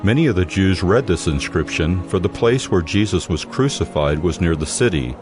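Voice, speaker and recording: A man's voice: deep voice, lively intonation, moderate pace, music in the background. A man narrating scripture from a script as a solemn dramatized audio Bible storyteller.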